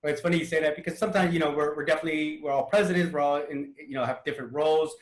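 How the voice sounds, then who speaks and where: A man hosting a relaxed panel conversation over a video call, chatty and loose, often repeating words as he goes. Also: a little high, rapid-fire, echoey room. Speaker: panel host. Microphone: video call mic.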